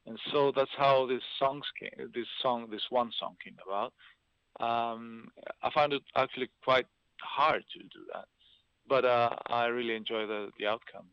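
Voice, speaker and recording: A man's voice: medium pitch, normal speed, smooth, no room sound. A man from Greece, Italian as first language, talking hesitantly with many fillers as a musician guest in an interview. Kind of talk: interview over a call. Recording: dull, dry line.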